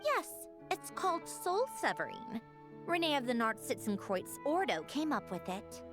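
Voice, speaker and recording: A woman playing a haughty, sharp-tongued, self-assured young woman in a video game, articulate and guarded. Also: high-pitched, big pitch swings, normal speed. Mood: serious, explaining. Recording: game dialogue over music.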